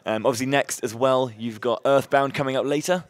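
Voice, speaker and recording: Male host, mid-range pitch, loud and chatty, upbeat live-event patter. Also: fairly even intonation, brisk, dry recording. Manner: upbeat, chatty, many fillers and restarts. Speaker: charity marathon host.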